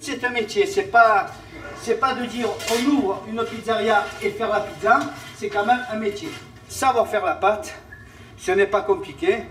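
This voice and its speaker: A man's voice: high voice, measured pace, fairly bright tone, a little hiss or hum. A man plainly explaining things in a casual interview. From Marseille, France.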